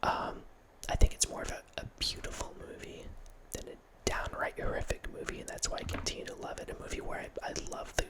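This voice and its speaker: A man in his thirties whispering a rambling ASMR talk as the host of his channel, often restarting with soft laughs, close to a studio mic in a small room at home. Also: brisk, hardly any pauses, rough recording. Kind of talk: whispered ASMR ramble about a horror movie. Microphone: large studio mic close in front, with headphones.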